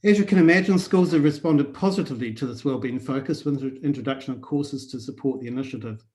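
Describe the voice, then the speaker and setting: A man from New giving a prepared talk at an online conference over a video call, in a steady, measured way. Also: mid-range voice. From New Zealand. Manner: steady, measured delivery with listed points. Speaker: conference speaker.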